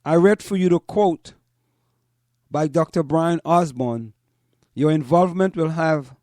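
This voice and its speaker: A man with a high voice, recorded dry and close. He talks to listeners as a host giving commentary, deliberately, repeating phrases to drive them home. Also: mellow, no room sound. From Trinidad and Tobago. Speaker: host of a community parents' talk show.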